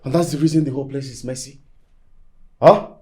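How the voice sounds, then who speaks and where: A man's voice: mid-range voice, fairly even intonation, background noise, close to an everyday voice. A man playing an irritated husband scolding his wife about the messy house. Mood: annoyed. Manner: scolding, rapid accusing questions. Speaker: actor.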